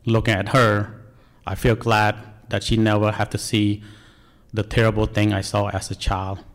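A man's voice: fairly low pitch, monotone, normal speed. Man with a Cambodian accent telling his story. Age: 40s. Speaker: National Guard member telling life story. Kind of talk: prepared personal speech.

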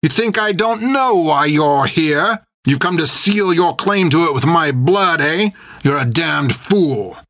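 A man's voice: mid-range voice, expressive, slow pace, no echo, full character voice. Man playing a furious old pirate captain, spitting threats, dry close sound. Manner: seething, spits words, shouting threats. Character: menacing scarred pirate captain, older man, cruel.

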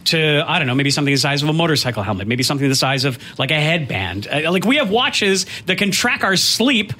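A man with a high, bright voice presents technology news for radio. He explains things in lively, conversational chat with animated enthusiasm. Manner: animated, enthusiastic, quick to laugh.

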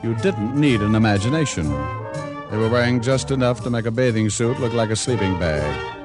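An actor playing a wisecracking, world-weary private detective in an old radio drama, with a wry, deadpan manner. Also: male voice, mid-range voice, brisk, music in the background, natural voice. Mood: wry, cool. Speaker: actor narrating and playing the lead. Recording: vintage radio broadcast recording.

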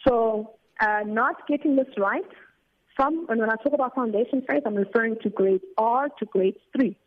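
A woman's voice: fairly high pitch, moderate pace. A woman, the CEO of an education nonprofit, explaining at length in a radio phone interview, with plenty of fillers and restarts. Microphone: phone line. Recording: tinny phone line.

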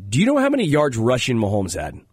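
A man's voice: medium pitch, wildly swooping pitch, quick pace, slightly rough, dry recording, clean studio sound. A male sports radio host, congested, talking earnestly with a lot of fillers. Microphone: studio microphone.

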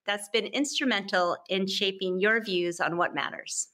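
A woman's voice: mid-range voice, steady tone, normal speed, bright, forceful tone. A woman hosting a podcast. She reads a scripted introduction and then asks interview questions in a polished, welcoming way. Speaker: podcast host. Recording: music bed under the voice.

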